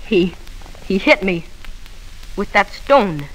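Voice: mid-range voice, lively intonation, takes it slowly, a bit bright, a little hiss or hum, low-quality recording. Sullen, proud young boy. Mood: sullen, intense. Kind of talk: 1940s radio acting.

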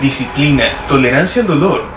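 A man's voice: mid-range voice, expressive, brisk, noisy background. A man reading a scripted documentary narration in a grave, formal manner. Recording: roomy, distant, played back with music.

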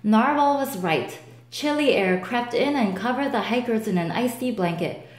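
A woman reading a children's picture book aloud, slow and expressive, giving the animals their own voices. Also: reverberant, slight background noise. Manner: slow, expressive, voicing each animal with lifts in pitch. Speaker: storybook reader. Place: table with picture book, indoors.

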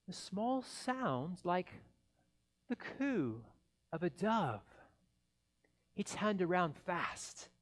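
Male storybook reader, forties. Slightly high voice, very unhurried, dramatic pauses, swooping expressive pitch. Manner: dramatic, deliberate pauses between phrases. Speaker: pastor reading a children's story. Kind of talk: theatrical children's storybook reading. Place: indoors in a church by a Christmas tree. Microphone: headset mic.

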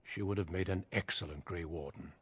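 A man's voice: brisk, no room sound. A man playing a grave, courteous middle-aged warrior commander in a fantasy video game, with formal, composed delivery. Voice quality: low, husky.

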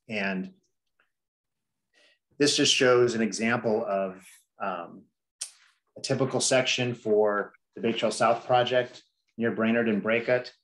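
A middle-aged man presenting a planning study to a public meeting over a video call, measured and explanatory, with frequent "um" and "you know". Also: on the low side, fairly even intonation, fairly bright tone. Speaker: district staff presenter.

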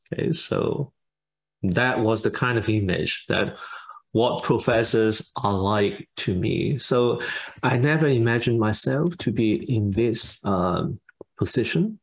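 A man from Hong Kong with a Hong Kong English accent that shifts between British and American, speaking in a measured, thoughtful way over a video call from his study. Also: on the low side, creaky. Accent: Hong Kong English, mixing British and American. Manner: measured, thoughtful, halting pauses. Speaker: guest speaker. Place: home study with bookshelves. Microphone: webcam on video call.

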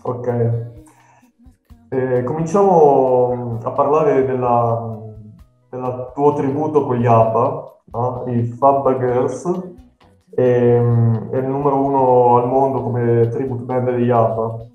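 A man's voice: medium pitch, steady tone, takes it slowly, faint music behind. Dull, roomy video-call host, a man. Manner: friendly, laughs between questions. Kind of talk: casual interview hosting.

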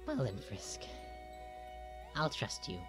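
A woman's voice: very deep voice, huge pitch swings, slow pace, loud music behind the voice, full character voice. A woman voicing a bitter, soulless talking flower in a comic dub, scornful and dramatic, swinging from sullen to menacing. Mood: subdued, resigned.

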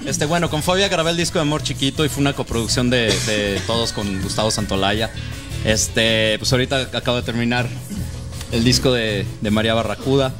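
A man with a mid-pitched voice talks in a relaxed way about the records he has produced, pausing on filler words. Music plays clearly behind him on the studio set. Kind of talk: casual interview chat.

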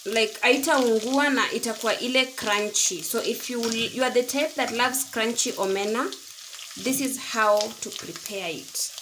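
A woman's voice: a little high, unhurried, noisy background. A Kenyan woman giving step-by-step cooking instructions in an explanatory tutorial style. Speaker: home cook, video host.

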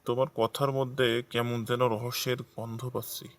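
A man playing a teasing village husband who is loyal to his ancestral home, in an animated folk tale. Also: medium pitch, fairly quick, heavy background noise, lightly acted. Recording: loud music behind the voice.

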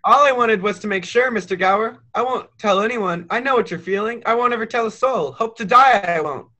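Young man acting an eager, high-voiced youth. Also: brisk, bright, forceful tone. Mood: pleading. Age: young adult. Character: eager, loyal young man dreaming of travel. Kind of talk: radio play acting.

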